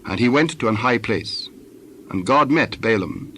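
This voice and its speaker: Male narrator for audio Bible: medium pitch, scripted storytelling, faint ambient background. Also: lively intonation, unhurried, fairly bright tone, a little hiss or hum.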